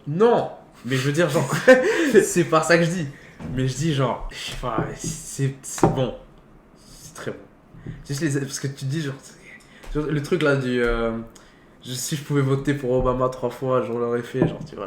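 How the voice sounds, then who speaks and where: Male co-host, loose slangy film chatter, medium pitch, echoey room with slight noise. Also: expressive, moderate pace. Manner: informal, slangy, rambling with half-finished thoughts. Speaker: co-host of a film talk show. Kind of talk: casual film debate between friends.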